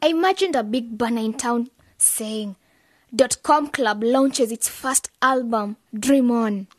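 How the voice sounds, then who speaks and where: A woman's voice: fairly high pitch, slow pace, dry recording, studio quality. An actress playing a confident, idealistic young woman leading a youth club in a radio drama, with a persuasive, upbeat manner. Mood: matter-of-fact.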